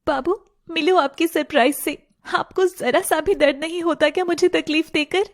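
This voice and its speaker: A woman's voice: extremely high pitch, moderate pace, background noise, voice pushed up. A woman voicing a heartbroken, stubborn young bride in a tearful audio drama, pleading dramatically. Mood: bitter, tearful.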